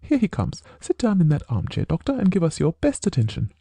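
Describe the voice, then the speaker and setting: A man voicing a brisk, dryly confident detective. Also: sits high, wildly swooping pitch, dark tone, breathy edge, no echo, voice pushed up, full character voice. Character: keen, brisk male detective, dry wit. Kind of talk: audiobook character voice.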